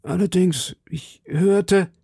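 A man's voice: sits high, takes it slowly, creaky, dark tone, breathy edge, no echo, studio quality, pitched above the performer's own voice, full character voice. A man voicing a timid, deferential middle-aged landlord in an audiobook, hesitant and formally polite. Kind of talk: audiobook character dialogue.

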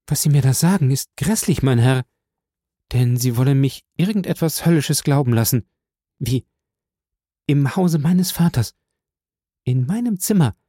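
A man voicing a frightened, feverish young woman with a gravelly voice, pleading in a high, breathless way. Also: warm tone, dry recording, clean studio sound.

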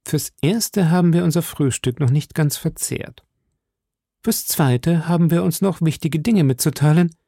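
A man voicing a composed, dry-witted musketeer leader in an audiobook, speaking calmly and deliberately with an indifferent air. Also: a little high, gravelly, dry recording, pitched above the performer's own voice.

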